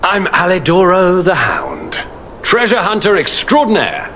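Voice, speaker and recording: An actor playing a vain, theatrical braggart of a treasure hunter in a video game, pompous in manner. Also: male voice, high voice, wildly swooping pitch, a little slow, sharp tone, a little hiss or hum, heavily acted. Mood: boastful, indignant.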